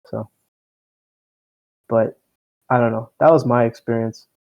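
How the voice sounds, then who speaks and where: A young man with a Miami accent hosts a podcast in loose, rambling chat. He swears freely and pokes fun at himself. His pitch sits in the middle of the range. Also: steady tone, a little slow, creaky, muffled recording. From Miami, United States. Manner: rambling, profane, self-deprecating. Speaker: podcast co-host. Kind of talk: casual chat.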